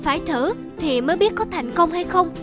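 A woman's voice: very high voice, expressive, a little fast, over music. A woman voicing an earnest, self-doubting schoolboy in a cartoon, polite and hesitant in manner. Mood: resolved. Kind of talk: cartoon dubbing.

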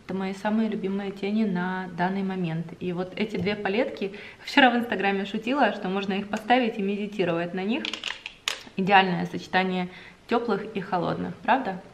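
A young woman chatting to the camera at home, enthusiastic and talkative, with drawn-out hesitations. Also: medium pitch, steady tone, a little hiss or hum. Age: young adult. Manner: chatty, enthusiastic about cosmetics, drawn-out fillers. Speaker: beauty vlogger. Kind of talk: casual chat to camera. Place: home room indoors.

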